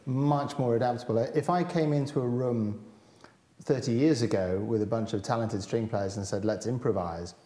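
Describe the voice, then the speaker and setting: A man's voice: medium pitch, normal speed. A man in his forties, a musician guest, speaking thoughtfully in a panel conversation in a large hall. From Britain. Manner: thoughtful, animated with hand gestures. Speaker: cellist guest. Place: large hall with high windows. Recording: echoey hall.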